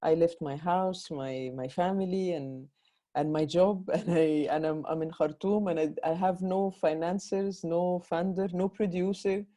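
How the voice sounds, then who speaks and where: A Sudanese woman film director with a slight Egyptian-tinged Arabic accent, answering questions in a fast, halting way full of restarts. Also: on the low side, fairly even intonation, warm tone. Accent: Egyptian-influenced Sudanese Arabic. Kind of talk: festival Q&A conversation.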